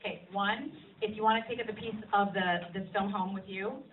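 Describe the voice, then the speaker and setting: A woman's voice: mid-range voice, fairly even intonation, moderate pace. A woman hosting a question session at a film screening, speaking unscripted to the audience. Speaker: host moderating a screening Q&A. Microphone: distant handheld phone camera. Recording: echoey, distant, phone-like, clearly noisy.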